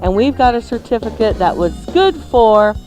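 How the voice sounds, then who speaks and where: A woman's voice: fairly high pitch, expressive, normal speed, warm tone, soft background music. A woman co-hosting a radio show, playful and chatty, mixing casual banter with live ad reads. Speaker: radio cooking show co-host.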